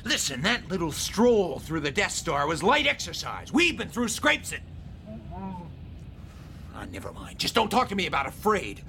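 Gruff, sardonic smuggler pilot, animated. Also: male voice, high voice, normal speed, slight background noise, full character voice. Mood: cocky, irritated. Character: cynical, self-serving veteran smuggler pilot, male adult. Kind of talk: radio drama acting.

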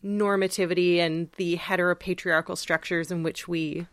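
A woman's voice: fairly low pitch, fairly even intonation, moderate pace, no room sound, studio quality. A woman co-hosting a podcast in casual, informal discussion, often checking for agreement as she explains. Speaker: podcast co-host.

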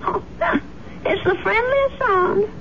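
A dull, noisy vintage radio recording of an elderly American grandmother in a mystery play. Her voice is high and swoops expressively as she chats and fusses. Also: takes it slowly, exaggerated voice. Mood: warm, chatty. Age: older. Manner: chatty, fussing, expressive. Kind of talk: old-time radio mystery acting.

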